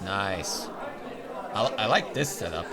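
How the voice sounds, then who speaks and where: A man's voice: on the low side, expressive, slow pace, a crowd in the background. A man recording a casual let's play of a visual novel, talking to viewers and reading text aloud. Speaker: video game streamer, host. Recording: loud background music. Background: loud game music.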